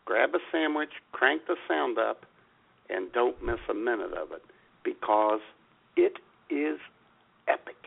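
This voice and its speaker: A man with a raspy, gravelly voice hosting a comedy radio show, talking casually and announcing with mock grandeur. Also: mid-range voice, expressive, a little slow. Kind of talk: casual introduction of a comedy segment. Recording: thin phone-like band.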